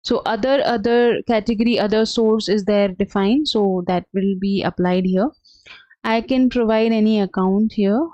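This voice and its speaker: A woman trainer talks through a software tutorial on a dull, lo-fi recording with very little room sound. Her pitch is average for a woman, and her step-by-step explanation is unscripted and somewhat halting. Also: fairly even intonation, no echo. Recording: dull, lo-fi, dry close sound.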